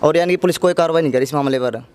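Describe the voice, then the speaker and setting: Male interviewee, Haryanvi accent, quick pace, clean smooth voice, unscripted account. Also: on the high side, a little mellow. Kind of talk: spontaneous interview testimony.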